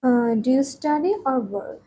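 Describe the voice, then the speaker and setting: A woman's voice: sits high, a little slow, mellow, slight background noise. A woman from Bangladesh, asking formal scripted exam questions as the examiner.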